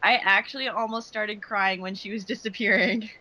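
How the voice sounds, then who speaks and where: A woman's voice: medium pitch, steady tone, moderate pace, sharp tone, lo-fi sound. A woman talking informally as a voice actress and editor on a casual commentary track. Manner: informal, peppered with "like".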